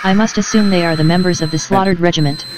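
Woman as a brisk, clinical ghost investigator. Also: lowish voice, steady tone, background noise, lightly acted. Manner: clinical, matter-of-fact. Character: precise, unflappable female paranormal investigator. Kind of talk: audio drama acting.

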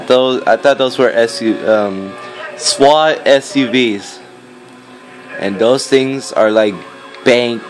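A man's voice: medium pitch, rough recording. A fourteen-year-old boy giving casual, hesitant, rambling gameplay commentary, recorded on a phone. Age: teenager, fourteen. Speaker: gaming video maker. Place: indoors, filming a game on a screen. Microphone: handheld phone. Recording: phone recording with game music behind. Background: game music.

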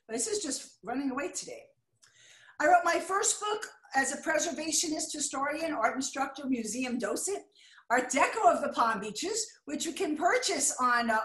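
An older American woman giving a chatty, excitable slideshow lecture on a video call, now and then apologizing when the slides skip. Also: high-pitched. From United States. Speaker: art deco lecturer. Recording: echoey room.

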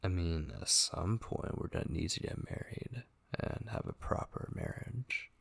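Man acting husky, low-voiced tender boyfriend. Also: unhurried, exaggerated voice. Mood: playful, affectionate. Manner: soft, hesitant, intimate, with murmured asides. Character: tender, devoted gamer boyfriend, young man, affectionate and reassuring. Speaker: ASMR voice actor. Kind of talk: boyfriend ASMR roleplay, soft intimate acting.

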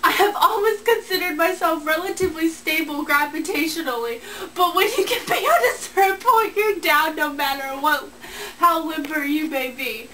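Very high-pitched young woman reading aloud. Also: moderate pace, sharp tone. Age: young adult. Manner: giggly, breaking into laughter while reading. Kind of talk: reading a comic story aloud. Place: small bedroom, indoors. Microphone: handheld camera a short way off. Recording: distant mic, noticeable room noise.

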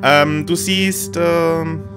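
A man's voice: on the high side, steady tone, extremely slow. A man improvising narration as a game master, hesitating and searching for words. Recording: clear guitar music behind the voice. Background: plucked guitar music.

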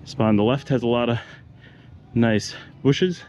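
A man's voice: mid-range voice, a little slow, slight background noise. A middle-aged man casually narrating a walking tour, pointing out houses and shops as he strolls outdoors on neighborhood streets. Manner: relaxed, pointing things out as he walks. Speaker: vlogger giving a walking tour.

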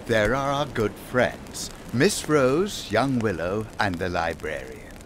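An actor in an audio drama playing an urbane, menacing island cult leader, politely mocking and grandiose. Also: male voice, fairly low pitch, expressive, noisy background. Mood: suave, grandiose. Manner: grandiose, polite, drawn-out taunting delivery.

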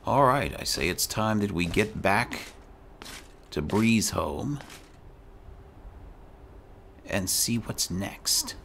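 Slow, deep, gravelly man narrating a game. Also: lively intonation, a bit bright. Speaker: gaming video host role-playing his character. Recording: faint background noise.

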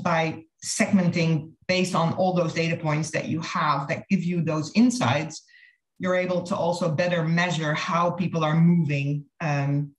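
A woman giving a businesslike, explanatory slide presentation over a video call. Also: sits low. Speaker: marketing consultant presenting a case study. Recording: roomy, echoey video-call audio.

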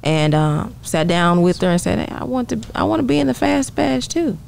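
A woman's voice: medium pitch, very animated, normal speed, gravelly, a little mellow, slight background noise. A young woman chatting casually as a singer guest on a podcast, close on a studio mic. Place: small podcast studio indoors. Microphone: close studio microphone on arm.

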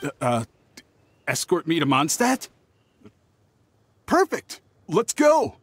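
An actor playing a nervous, cowardly young traveling merchant in a video game, stammering theatrically with music behind him. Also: male voice, a little high, wildly swooping pitch, slow, slight background noise, heavily acted. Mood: flustered, evasive. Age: young adult. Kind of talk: video game character acting.

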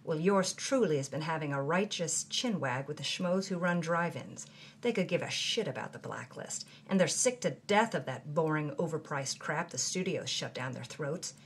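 A man's voice: on the high side, animated, moderate pace, a bit bright, a little hiss or hum. A woman voicing a brash, slangy male movie hustler who boasts about his deals. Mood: scheming.

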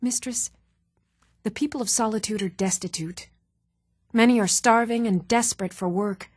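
An actress playing an adult townswoman in a fantasy role-playing game, speaking in an even, measured, clearly enunciated way. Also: a little high, dry recording, studio quality. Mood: earnest, concerned. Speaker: video game voice actor. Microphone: close, dry studio microphone.

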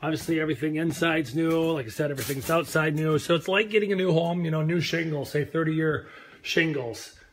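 Hoarse Minnesotan man, outdoors on a phone. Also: on the high side, a little fast. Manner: rambling, earnest pep talk. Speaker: real estate agent. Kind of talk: live property tour vlog. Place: outdoors on a lakeside deck. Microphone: phone held at arm's length.

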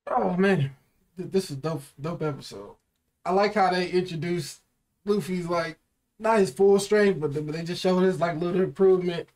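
A man's voice: high-pitched, moderate pace, a little mellow. A young American man chatting casually and in slang as an anime reaction host, seated in a small room. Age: young adult. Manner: slangy, informal.

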